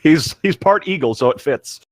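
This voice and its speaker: A man's voice: fairly high pitch, lively intonation, normal speed, no room sound. Casual man reading aloud, dry close mic. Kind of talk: casual comedic read-aloud with banter.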